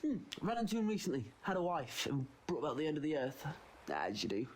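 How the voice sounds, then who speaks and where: A man playing a quirky, quick-witted time traveller in an audio drama, flippant yet assertive. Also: fairly high pitch, sing-song, darkish tone.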